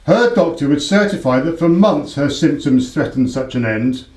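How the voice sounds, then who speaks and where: A male actor as a proud aristocrat cornered by debt, in a radio play. His voice sits fairly high, with formal, imperious and defensive delivery, and the room echoes clearly around him. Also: expressive, normal speed, a little mellow, close to an everyday voice. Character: proud, desperate English baronet, indebted horse owner.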